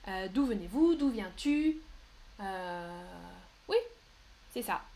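A woman's voice: medium pitch, lively intonation, dark tone. A young woman hosting a live French lesson, patiently answering viewers' questions from the chat and spelling out examples. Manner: slow, clear and patient, often reading chat names aloud.

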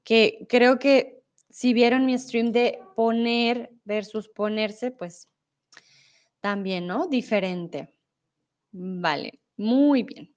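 A woman's voice: a little high, very slow, darkish tone, highs cut off. A young Colombian woman from Bogotá with a Bogotá accent, teaching a live-streamed Spanish lesson, explaining patiently and reading viewer comments aloud.

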